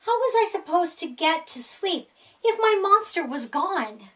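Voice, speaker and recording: A woman reading a picture book aloud as expressive children's story narration. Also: very high-pitched, unhurried, distant mic. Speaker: storybook reader.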